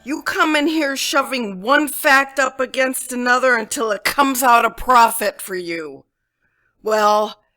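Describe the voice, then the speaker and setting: A woman's voice: medium pitch, lightly acted. A woman playing a sultry, poised femme fatale wife in a parody noir radio drama.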